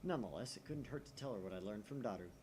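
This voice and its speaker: A man's voice: fairly low pitch, expressive, rapid-fire, darkish tone, close to an everyday voice. A man voicing a self-important, paranoid young would-be mad scientist in a pompous, dramatic manner. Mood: haughty, suspicious.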